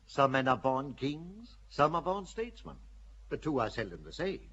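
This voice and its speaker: A shrewd, calculating churchman, voiced by a man in a radio play, husky and measured as he argues. His persuasive tone builds toward fervor, and the recording sounds dull and muffled. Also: medium pitch, big pitch swings, fairly bright tone.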